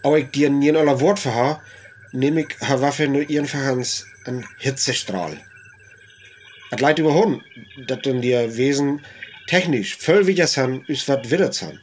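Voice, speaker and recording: A man's voice: mid-range voice, a little hiss or hum, close to an everyday voice. A man acting a professor in a radio play, cautiously explaining and speculating in an interview, with a North Frisian accent. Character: male professor cautiously explaining alien invaders' weapons.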